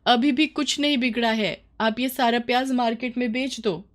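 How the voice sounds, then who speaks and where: A woman's voice: on the high side, steady tone, moderate pace, pitched above the performer's own voice, lightly acted. A woman voicing a worried, sensible village farmer's wife who scolds and pleads in a cartoon moral story. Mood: urging.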